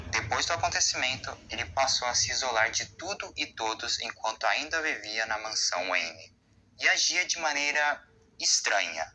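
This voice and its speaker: A man's voice: mid-range voice, bright, forceful tone, a little hiss or hum, rough recording. A Brazilian man narrating a scripted explainer as the voice of a video essay.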